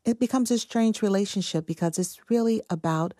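A woman novelist in a studio interview, explaining her view in a measured, earnest way. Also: medium pitch, dark tone, no room sound. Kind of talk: conversational interview answer.

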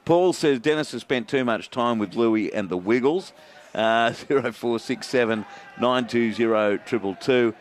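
A man's voice: mid-range voice, a little hiss or hum. A man with an Australian accent hosting a radio sports show, bantering and reading out listener texts. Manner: laughing, quick, chatty.

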